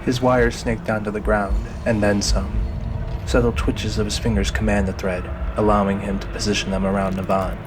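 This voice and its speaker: A man's voice: fairly low pitch, level tone, moderate pace. A man reading scripted, dramatic narration for an audio drama. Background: music.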